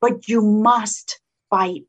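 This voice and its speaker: A woman with a slight Colombian Spanish accent, a state senator speaking in an interview in a combative, animated way. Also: mid-range voice, extremely slow. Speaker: state senator, political guest. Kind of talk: conversational interview. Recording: dull lo-fi sound.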